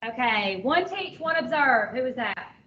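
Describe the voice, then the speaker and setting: A woman with a loud voice leading an informal training session as a teacher, giving directions conversationally and repeating short phrases for emphasis. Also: fairly high pitch, sing-song, measured pace. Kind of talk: informal classroom instruction. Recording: dull, noisy, distant room recording.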